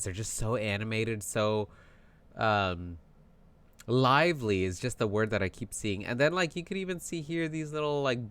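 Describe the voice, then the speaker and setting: A man's voice: fairly low pitch, smooth. Chatty man with a tired voice. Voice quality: animated, chatty, many fillers. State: tired voice from yelling. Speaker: tarot reader. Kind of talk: casual tarot reading monologue.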